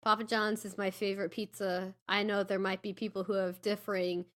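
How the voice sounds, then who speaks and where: A woman's voice: mid-range voice, level tone, fairly quick. A woman chatting casually and enthusiastically as a podcast host, with emphatic delivery. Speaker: podcast host and player in a tabletop game. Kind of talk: casual banter on a tabletop game podcast.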